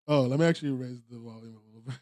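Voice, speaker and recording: A man's voice: medium pitch, racing, a little mellow, dry recording, clean studio sound. A British man hosting a podcast, chatting casually in a rambling, laughing, self-deprecating way. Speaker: podcast host. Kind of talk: casual chat.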